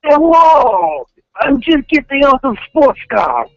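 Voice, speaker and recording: A man's voice: extremely high pitch, big pitch swings, takes it slowly, no echo, full character voice. A man voicing a boastful, rambling male Russian composer with a Russian accent and a crude, swearing manner, heard over a dull phone line. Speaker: radio show guest.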